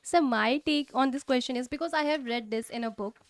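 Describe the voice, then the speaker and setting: A woman's voice: high-pitched, a little fast. A woman with an Indian accent talking conversationally as an educator in an exam discussion.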